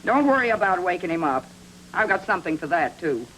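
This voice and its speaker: An actor playing a coolly clinical criminal accomplice in film noir style, matter-of-fact in manner. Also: male voice, high voice, sing-song, brisk, a bit bright. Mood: coolly practical. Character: coolly clinical accomplice who drugs captives. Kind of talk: 1940s film noir acting. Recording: humming, noisy old film soundtrack.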